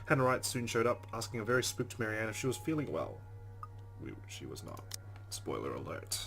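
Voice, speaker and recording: A man's voice: fairly low pitch, very animated, fairly quick, fairly bright tone. A man playing a visual novel on video, reading its narration aloud and adding wry, chatty asides. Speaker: let's play host. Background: game music.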